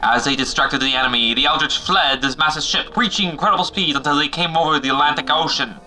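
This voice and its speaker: A man's voice: on the high side, quick pace, bright, forceful tone, poor audio. A man narrating an audio drama in a dramatic, scripted style with theatrical urgency.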